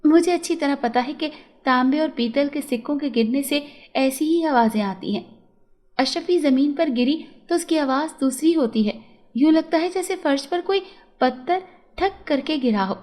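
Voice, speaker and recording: Woman as a high-voiced, clever earnest boy. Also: lively intonation, moderate pace, darkish tone. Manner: earnest, explanatory.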